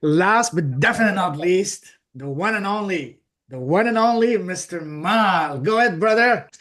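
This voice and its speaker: A man's voice: high-pitched, lively intonation, normal speed, a bit bright. A man hosting a group call, effusive in greeting and praising guests. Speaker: host of the call.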